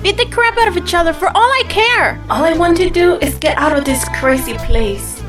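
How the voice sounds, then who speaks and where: A woman's voice: very high-pitched, lively intonation, moderate pace, over music. A woman playing a feisty, sarcastic, defiant young woman in an amateur fantasy audio drama. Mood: irritated, sarcastic. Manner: sarcastic, exclamatory.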